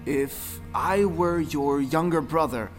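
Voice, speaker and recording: A man playing a gentle, sorrowful old traveler in a folktale audioplay. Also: on the high side, slow. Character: kindly old weary male traveler, secretly young. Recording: music under the voice.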